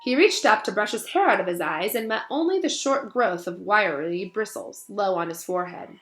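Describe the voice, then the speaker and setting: A woman reading a children's novel aloud in expressive storybook narration. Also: medium pitch, normal speed. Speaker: storybook reader. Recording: roomy echo, faint music behind.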